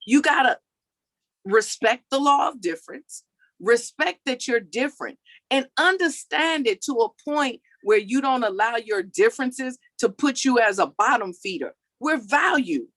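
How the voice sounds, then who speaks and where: A woman's voice: on the high side, lively intonation, a little slow, a bit bright, dry recording. A woman in her forties with a country accent that mixes Texas and Maryland, giving an emphatic motivational talk and often checking in with "okay" and "all right.". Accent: mix of Texas and Maryland country. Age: 40s. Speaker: motivational speaker.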